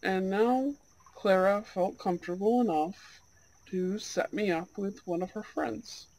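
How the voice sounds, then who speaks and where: Slow, higher-voiced gentle Goth guy acted by a man. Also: rough recording. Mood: candid, reflective. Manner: soft-hearted, hesitant, sincere. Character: gentle, earnest young Goth man on a blind date. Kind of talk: intimate roleplay ASMR acting.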